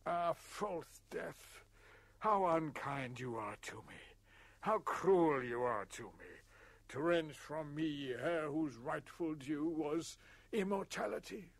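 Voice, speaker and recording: A man's voice: fairly high pitch, measured pace, creaky, a bit bright, no room sound, heavily acted. A man playing an ageing giant nobleman in a storybook reading, theatrical and declamatory, given to melodramatic exclamation. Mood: mock-tragic grief. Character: ageing giant nobleman, bellowing melodramatically between grief and glee.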